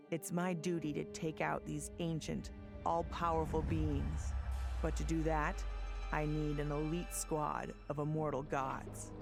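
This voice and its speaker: An actress playing a tough, wry military commander with an American accent, dry and resolute, in a video game monologue. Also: female voice, low voice, creaky. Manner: dry, resolute, plain-spoken. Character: tough middle-aged female soldier, wry protective commander. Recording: music under the voice.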